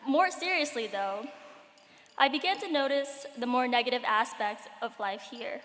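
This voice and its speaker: A woman's voice: fairly high pitch, moderate pace, fairly bright tone, slight background noise. A teenage girl from Cambodia giving a commencement speech as a graduating student, with wry, self-deprecating humor. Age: late teens. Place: large hall at a graduation ceremony. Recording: echoey hall with long reverb.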